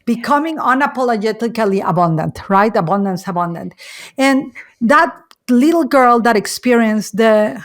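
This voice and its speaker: A woman hosting a podcast interview in accented, non-native English, effusive and halting, often repeating her phrases. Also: fairly high pitch, animated. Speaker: podcast host.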